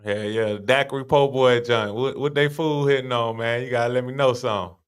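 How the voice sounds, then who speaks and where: Smooth-voiced slangy podcast host. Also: male voice, mid-range voice, a little fast. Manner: relaxed, slangy, hype questions. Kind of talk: casual interview chat.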